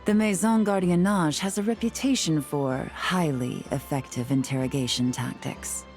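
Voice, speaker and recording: A woman playing a composed, wry detective agent in a video game, speaking in a cool, measured, dryly sly way. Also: sits low, fairly even intonation, a little mellow, faint music behind, natural voice. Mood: sly, businesslike. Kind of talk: video game character dialogue.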